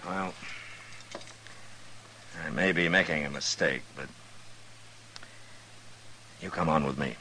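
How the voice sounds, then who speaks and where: An actor playing a stern, steady frontier marshal with an American accent in a 1950s radio western, terse and firm. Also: male voice, a bass voice, takes it slowly, pauses often, exaggerated voice. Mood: reluctant, measured. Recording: hissy vintage radio recording.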